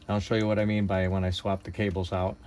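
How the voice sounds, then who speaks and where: A man's voice: low voice, level tone, fast talker, a little mellow. A man giving a casual hands-on tutorial, thinking aloud in an unpolished, hesitant way. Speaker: hobbyist demonstrator.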